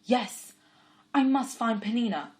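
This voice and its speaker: A woman voicing an impetuous, lovestruck young man who talks loudly and urgently. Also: a little high, measured pace, voice pushed up, lightly acted. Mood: earnest. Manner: loud, urgent calling.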